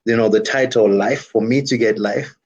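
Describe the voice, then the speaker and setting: A man's voice: on the low side, steady tone, normal speed, a little mellow, muffled recording. A man from DR Congo whose first language is French, a worship leader talking thoughtfully as an interview guest, with frequent "you know" fillers. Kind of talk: reflective interview talk.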